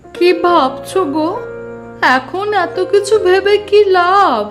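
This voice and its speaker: Scheming landlord's wife for a cartoon, very high voice, slow insistent delivery, bossy. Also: female voice, music in the background. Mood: scolding. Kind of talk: cartoon voice acting.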